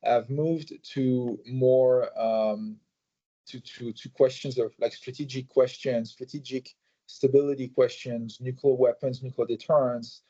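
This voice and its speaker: A man answering interview questions in a measured way, with many fillers and restarts. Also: medium pitch, steady tone, mellow. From France. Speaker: think tank president, guest. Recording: slightly muffled recording.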